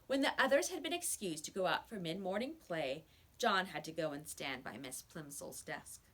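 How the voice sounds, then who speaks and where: A woman reading a children's story aloud in expressive narration. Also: lowish voice, normal speed. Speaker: storybook reader.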